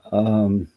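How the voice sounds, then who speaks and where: A man hosting a casual live chat, musing and halting, with a hoarse, scratchy voice. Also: on the low side, mellow. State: hoarse after a night out. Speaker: livestream host. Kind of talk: casual live chat, reading out and answering comments.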